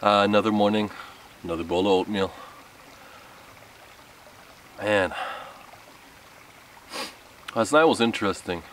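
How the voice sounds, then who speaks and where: Male outdoor vlogger, thirties, deep voice, casual rambling delivery, recorded a few metres from the mic. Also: fairly even intonation, a little slow, pauses often. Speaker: camping vlogger. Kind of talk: casual vlog talk to camera. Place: outdoor wooded campsite. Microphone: camera a few metres away. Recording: distant mic with outdoor background noise.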